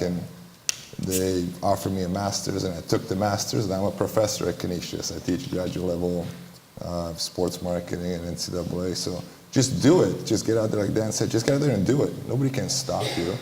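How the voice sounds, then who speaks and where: A man from Romania answers panel questions in a deep, flat, steady voice. He talks bluntly and earnestly and circles back to repeat key phrases. The sound is a roomy hall with a long echo and faint background noise. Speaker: panelist, basketball entrepreneur. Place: large hall, live panel.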